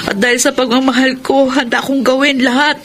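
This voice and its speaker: A woman's voice: high voice, flat delivery, a little fast, natural voice. An actress playing a lovesick, insistent young woman in a Filipino radio melodrama, with pleading, emotive delivery. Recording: radio drama with music underneath.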